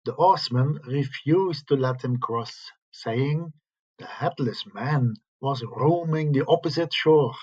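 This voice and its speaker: A man reading scripted tales aloud as a storyteller, with a husky voice. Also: mid-range voice, slow pace, a little mellow, lo-fi sound. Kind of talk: slow scripted ghost story narration.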